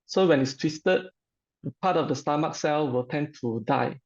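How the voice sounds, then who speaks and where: A middle-aged man with a Malaysian accent, giving an informal personal testimonial in a halting, hesitant way. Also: on the high side, lo-fi sound.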